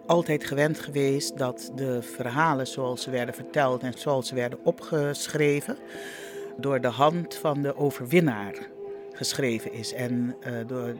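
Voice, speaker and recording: A man speaking reflectively in an interview, in a deliberate, halting manner. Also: medium pitch.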